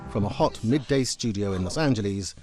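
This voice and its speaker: A man's voice: fairly low pitch, animated, brisk. A man giving scripted documentary narration as a game's creative director. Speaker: game executive creative director. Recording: music bed behind narration. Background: background music.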